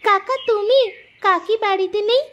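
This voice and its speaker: A woman's voice: extremely high pitch, normal speed, fairly bright tone, lo-fi sound. A woman voicing a poor, earnest orphaned village girl in a moral-story cartoon. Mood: eager. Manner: exclaiming, talks to herself aloud. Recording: music behind the voice.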